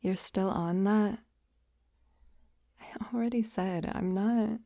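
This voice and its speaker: A woman playing a shy, affectionate young best friend in an intimate ASMR roleplay, speaking softly and hesitantly, often in a breathy near-whisper. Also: medium pitch, no echo, studio quality. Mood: flustered, bashful. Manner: hesitant, gentle, halting. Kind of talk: intimate roleplay ASMR, soft-spoken acting.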